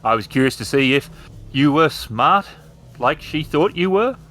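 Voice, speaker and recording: A man's voice: a little high, expressive, a little slow, pushed and bright. A man playing a smug, misanthropic villain robot with a theatrical, taunting delivery. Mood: taunting, gleeful.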